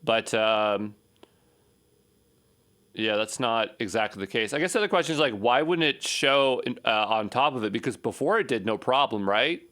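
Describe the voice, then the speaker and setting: A man with a mid-pitched voice works through a coding tutorial as an instructor. He talks casually and off the cuff, reasoning aloud as he goes. His sentences ramble and often end in a quick check for agreement. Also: animated, a bit bright. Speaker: programming instructor. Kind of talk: unscripted screencast coding tutorial, thinking aloud.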